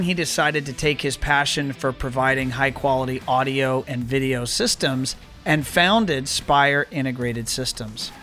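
A man hosting a podcast, reading a scripted introduction and then chatting in an upbeat, animated way with small restarts. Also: a little high. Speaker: podcast host.

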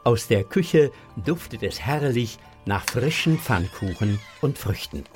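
A man narrating a children's audio drama in a measured storytelling manner. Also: mid-range voice, lively intonation, darkish tone, faint music behind.

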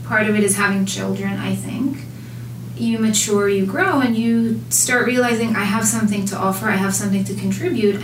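Woman, thirties, from Jerusalem, measured and reflective, distant mic, roomy noisy recording. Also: medium pitch. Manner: thoughtful, measured, lively when quoting others. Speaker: guest, food writer. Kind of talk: reflective interview answers.

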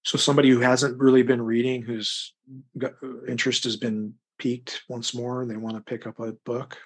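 A man's voice: mid-range voice, level tone, normal speed, breathy edge. A middle-aged American man talking casually as an interview guest, thoughtful and rambling, often hesitating as he works out his answer. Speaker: interview guest, crime fiction author.

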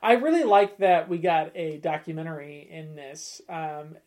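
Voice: medium pitch, normal speed, a little mellow. A podcast panelist speaks in an informal, conversational way. The talk is casual discussion, as among people reviewing trailers together.

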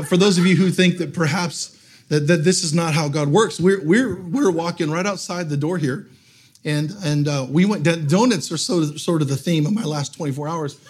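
A middle-aged man, a guest pastor who is losing his voice, preaching a sermon to a live audience in a church, telling funny stories and laughing often. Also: fairly high pitch. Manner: humorous storytelling, laughing often.

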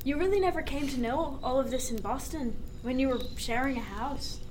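A woman playing a wry, philosophical sailor in a radio drama, speaking in a measured, reflective way with frank skepticism. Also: high voice, noisy background, natural voice.

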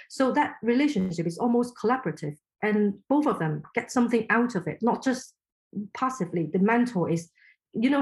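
A woman's voice: medium pitch, a little mellow. A woman from Hong Kong whose first language is Chinese, answering interview questions thoughtfully with frequent restarts. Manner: thoughtful, halting, many restarts. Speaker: podcast guest.

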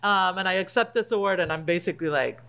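Woman chef chatting on a podcast, palestinian, laughs a lot, gets animated telling stories. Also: fairly low pitch, quick pace, clean tone. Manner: animated, laughs often, acts out quoted lines. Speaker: guest, chef and cookbook author. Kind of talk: casual podcast interview.

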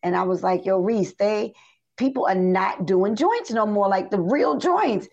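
A middle-aged woman singer in a casual interview, a bit hoarse, telling stories in an animated way with many fillers and restarts. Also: medium pitch, unhurried. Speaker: singer guest. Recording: dull lo-fi audio.

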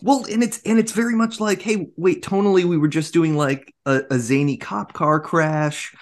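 A woman co-hosting a podcast, chatting casually about film in a jokey, animated way. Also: fairly low pitch, normal speed. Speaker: podcast co-host.

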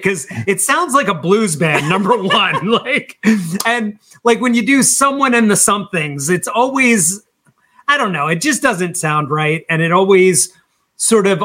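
A man's voice: high voice, pushed and bright. A man speaking as an interview guest in a casual, enthusiastic and informal conversational style. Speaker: podcast guest, band singer.

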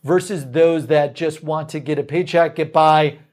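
A man in his thirties, a sales executive guest, talking in an energetic, enthusiastic way in a studio. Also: a little high. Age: 30s. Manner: energetic, enthusiastic, rapid lists. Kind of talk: casual interview answers.

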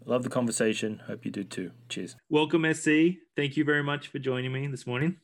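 A man's voice: medium pitch, brisk. A man hosting an interview podcast, eagerly asking questions and often repeating words as he starts them. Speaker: podcast host.

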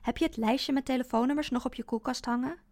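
A woman reading the part of a calm, patient ex-husband in a story, measured and deliberate. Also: high voice.

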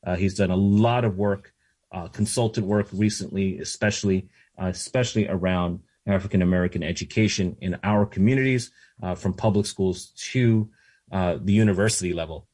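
A man hosts an interview. His voice sits very low and sounds husky. He talks haltingly and fills his pauses with ums and uhs. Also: fairly even intonation, darkish tone. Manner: halting, many filler sounds. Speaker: host.

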